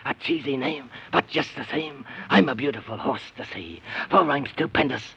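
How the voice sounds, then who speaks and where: A man's voice: medium pitch, expressive, moderate pace, a bit bright, full character voice. A man playing a brash, bragging, grumbling horse in a 1930s children's radio serial. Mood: boastful. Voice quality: raspy, gravelly. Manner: bragging, exclaiming, slangy. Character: boastful talking cartoonish horse, brash and grumbling. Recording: muffled vintage 1930s radio recording.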